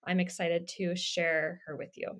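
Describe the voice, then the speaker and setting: A woman's voice: fairly low pitch, flat delivery, unhurried, fairly bright tone. Young woman interviewer, chatty and casual, filler-heavy, webcam from a bedroom. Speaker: interviewer, co-host. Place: bedroom indoors. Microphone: webcam on video call.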